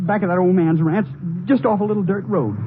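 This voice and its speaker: An actor playing an anxious, guilt-ridden young soldier, halting and stammering, in 1950s radio drama style. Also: male voice, high voice, close to an everyday voice. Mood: reluctant. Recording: noisy, narrow old radio recording.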